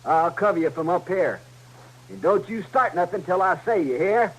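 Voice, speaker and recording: A domineering rural Western outlaw boss. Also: male voice, high-pitched, expressive, normal speed, breathy edge, low-quality recording. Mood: tense, commanding. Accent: rural American West. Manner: folksy, commanding drawl with tag questions. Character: domineering, scheming Western outlaw leader bossing his slow partner. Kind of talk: old-time radio Western acting. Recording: old radio recording with faint hum and noise.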